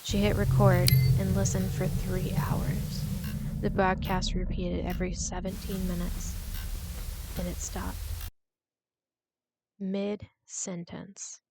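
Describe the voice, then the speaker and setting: A woman narrating a scripted suspense story in a measured, deliberate way, with short dramatic pauses. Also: medium pitch, completely flat delivery, heavy background noise.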